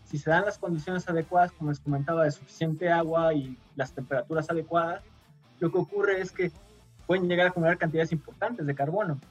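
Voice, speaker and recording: A man from Mexico, a guest scientist on a radio show, explaining patiently with frequent pauses and fillers. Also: a little high, husky.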